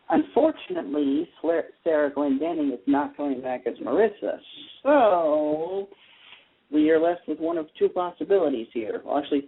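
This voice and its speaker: A man's voice: fairly high pitch, vocal fry, slightly rough. A man hosting a casual radio talk show about TV, chatty and opinionated as he explains his views. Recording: tinny phone-like internet radio line.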